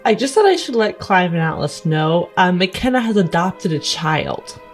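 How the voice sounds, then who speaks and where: A woman's voice: fairly low pitch, very animated, normal speed, soft background music. A woman chatting casually as a player on a tabletop game podcast. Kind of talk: casual chat.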